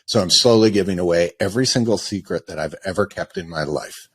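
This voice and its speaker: A man with a very low voice, hosting a podcast in a studio. He is from Canada and talks with lively energy, joking and confessing to his guests in casual chat. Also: fast talker, breathy edge, dry recording. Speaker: podcast host.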